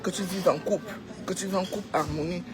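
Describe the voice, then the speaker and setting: A woman's voice: on the low side, fairly even intonation, fast talker, over music. A woman with a Cameroonian accent giving an informal, opinionated monologue to followers, exhorting them and repeating phrases for emphasis. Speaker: social media commentator.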